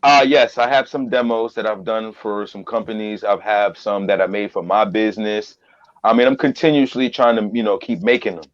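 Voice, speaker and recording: A man's voice: fairly low pitch, steady tone, moderate pace, dry recording. A man talking casually as a guest, often repeating words and phrases for emphasis, on a lo-fi recording. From Trinidadian family. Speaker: guest, voice-over performer and business owner. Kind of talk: casual chat. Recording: dull lo-fi audio.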